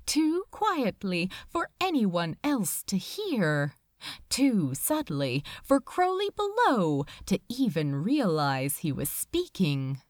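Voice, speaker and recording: A woman narrating fiction in a dramatic, emotive way, with deliberate pauses. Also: mid-range voice, very animated, a little mellow, dry recording, studio quality. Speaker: audiobook reader.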